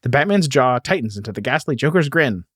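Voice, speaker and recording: A man's voice: medium pitch, sing-song, fairly quick, a bit bright, slightly rough, dry recording, clean studio sound. A man voicing a dramatic narrator of a pulpy superhero comic, read aloud melodramatically.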